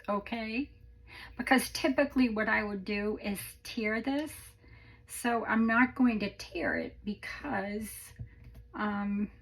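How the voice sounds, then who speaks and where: A woman hosting a crafting video, chatting casually through a tutorial with a croaky voice, rambling and self-deprecating. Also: medium pitch, a little hiss or hum, low-quality recording. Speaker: crafting channel host.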